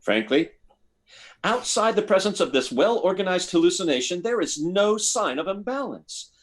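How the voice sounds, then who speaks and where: A man's voice: sits high, big pitch swings, normal speed. An actor playing a cautious, soothing doctor in a sci-fi radio drama, hesitant and stammering as he placates. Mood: measured, hesitant. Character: cautious, soothing male doctor at a test base. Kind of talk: live performance of 1950s sci-fi radio drama.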